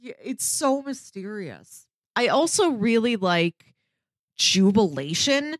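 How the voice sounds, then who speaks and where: A woman's voice: a little high, very animated, slow pace, no room sound. A woman co-hosting a podcast, casually retelling and discussing a story in loose, conversational speech peppered with filler words. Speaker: podcast co-host.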